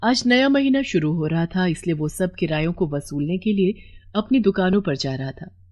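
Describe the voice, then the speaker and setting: A woman's voice: fairly low pitch, animated, moderate pace, darkish tone. A woman narrating a scripted moral story as storyteller.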